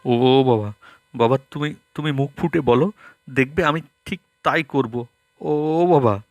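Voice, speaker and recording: A man's voice: medium pitch, a little slow, close to an everyday voice. A man voicing an anxious, dutiful grown son in a cartoon, pleading and stammering. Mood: earnest. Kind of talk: cartoon voice acting. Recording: loud music behind the voice.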